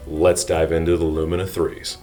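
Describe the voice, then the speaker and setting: A man's voice: a bass voice, level tone, moderate pace, over loud music. A man presenting a scripted product review to viewers, explaining details with enthusiasm. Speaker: product reviewer and channel host.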